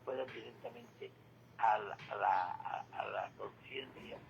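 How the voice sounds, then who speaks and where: An older man giving a reflective radio monologue, halting and repeating words, with rhetorical questions. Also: fairly low pitch, lo-fi sound. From Spain. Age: 60s or older. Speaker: retired regular contributor giving commentary. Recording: clearly noisy radio feed.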